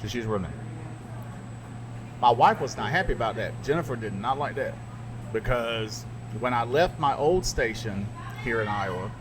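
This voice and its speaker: A man's voice: medium pitch, expressive, moderate pace, long pauses, noisy background. A middle-aged man, a radio DJ, co-hosting a podcast and telling stories in rambling casual chat. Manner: rambling, laughs at his own stories.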